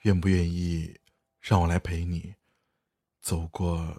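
A man acting in a radio drama as a kind, gentle father figure, speaking patiently and soothingly. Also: sits low, flat delivery, slow pace, no echo, clean studio sound, faint music behind, exaggerated voice. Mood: tender, earnest.

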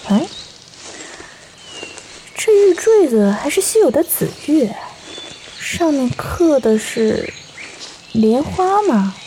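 A woman's voice: fairly high pitch, warm tone, a little hiss or hum. A woman playing a cocky but easily frightened young thief in a wuxia audio drama, with a theatrical, protesting delivery.

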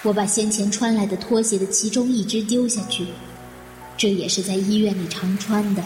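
A woman acting a vengeful, resolute woman who narrates a mystery radio drama in a measured, deliberate way. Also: medium pitch, steady tone, darkish tone, close to an everyday voice. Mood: brooding, detached. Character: vengeful, resolute woman plotting against her would-be killer. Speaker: voice actor in an amateur audio drama. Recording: echoey, long reverb, music behind. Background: background music.

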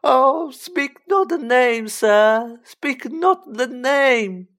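A woman voicing a squeaky, high-pitched little house-elf. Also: fairly even intonation. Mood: horrified. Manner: pleading, drawn-out, emphatic delivery in third person. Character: small male house-elf, humble, reverent, anxiously devoted servant. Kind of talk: children's fantasy audiobook character voice.

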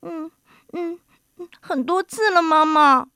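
A woman's voice: extremely high pitch, slow pace, pushed and bright, no room sound, clean studio sound, voice pushed up, full character voice. A woman voicing a meek, childish giant son in a children's story, whimpering hesitantly and whining as when scolded. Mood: sheepish. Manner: whiny, hesitant.

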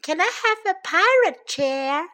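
A small, excitable boy bear cub from a storybook, acted out by a woman narrator. The voice sits high and is eager, boastful and pleading. It exclaims and begs like a keen little child. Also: slow, heavily acted. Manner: exclaiming, begging.

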